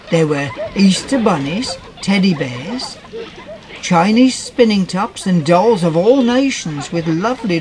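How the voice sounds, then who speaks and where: Slow, animated male storyteller. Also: sits high, poor audio. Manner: expressive storytelling. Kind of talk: scripted children's fairytale narration.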